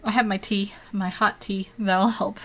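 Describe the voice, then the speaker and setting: A woman's voice: medium pitch, steady tone, measured pace. Young woman, art livestream host, chatty and giggly, rambles between steps, desk mic at home. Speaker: art streamer. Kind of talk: casual live-stream tutorial chat.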